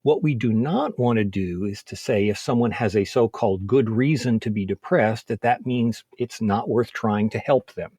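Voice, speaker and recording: A man speaking as a physician in an interview, explaining things methodically in measured, orderly points. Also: lowish voice, dark tone, husky, no room sound, clean studio sound. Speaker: physician, expert interview guest.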